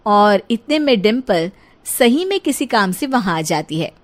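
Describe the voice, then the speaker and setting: A woman's voice: mid-range voice, very animated, measured pace. A woman narrator telling a scripted comic story in storytelling style.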